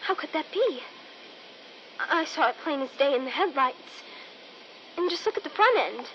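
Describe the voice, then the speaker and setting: A woman's voice: high voice, animated, moderate pace, pushed and bright. Casting: frightened teenage girlfriend, breathless radio-serial melodrama, narrow old-radio sound over noisy effects. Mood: shaken. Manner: breathless, dramatic, anxious. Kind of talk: old-time radio drama acting.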